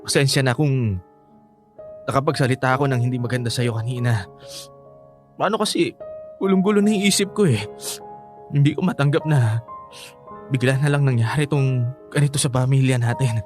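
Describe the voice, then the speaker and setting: A man's voice: medium pitch, normal speed, long pauses, soft background music, natural voice. An actor with a Filipino accent playing an emotional, pleading teenage son in a radio drama, stammering through his lines. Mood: hurt, remorseful. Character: distraught teenage son refusing to believe his father left.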